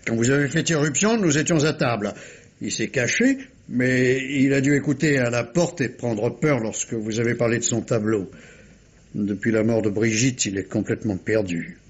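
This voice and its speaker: A man playing a guarded middle-aged gentleman in a mid-century French radio crime drama, with formal, refined diction and a slightly husky voice. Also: mid-range voice, moderate pace, close to an everyday voice. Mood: anxious, polite. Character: formal, guarded gentleman uncle protecting his nephew. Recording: dull, muffled old radio recording.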